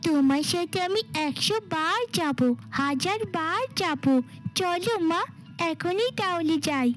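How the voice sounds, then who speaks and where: A woman's voice: extremely high pitch, lively intonation, a little slow, fairly bright tone, soft background music, exaggerated voice, rough recording. A woman voicing a devoted, timid little girl in a cartoon fairy tale, with a pleading, childlike manner. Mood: affectionate, trusting. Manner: pleading, childlike, affectionate way of addressing mother.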